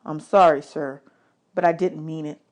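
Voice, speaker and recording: A woman's voice: sits low, fairly even intonation, moderate pace, lightly acted. A woman reading a storybook, voicing a polite, talkative young orphan boy in a respectful, matter-of-fact way.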